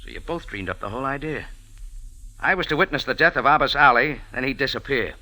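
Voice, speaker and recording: Punchy, animated hard-boiled radio hero. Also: male voice, mid-range voice, fast, dry recording, rough recording. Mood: sardonic, accusing. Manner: sardonic, punchy. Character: wry, tough hard-boiled adventurer hero. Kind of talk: 1940s-50s radio detective acting and narration. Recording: old radio drama recording with music.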